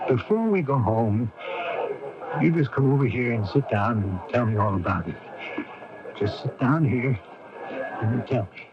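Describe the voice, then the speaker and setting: A man playing an anxious, doting middle-aged father in a 1980s radio drama, fussing protectively in a husky voice. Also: mid-range voice, expressive, unhurried, long pauses, background noise. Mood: concerned, comforting. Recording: dull lo-fi 1980s AM radio recording.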